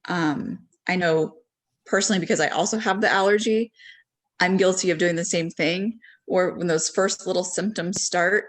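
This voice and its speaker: A woman's voice: on the low side, fairly even intonation, normal speed. A woman talking on a discussion panel as a parent, casual and conversational, with many ums and a voice that trails off at the ends of thoughts.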